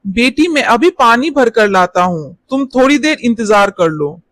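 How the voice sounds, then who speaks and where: A woman voicing a kindly, reassuring adult village man in a storybook tale, speaking gently. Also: on the high side, very animated, measured pace, no room sound.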